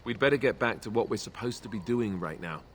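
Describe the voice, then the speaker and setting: A young Grey Warden warrior from a fantasy video game, earnest and wistful. His voice sits on the low side, with faint ambient noise behind it. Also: lively intonation, fast talker, a bit bright. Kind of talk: video game dialogue acting.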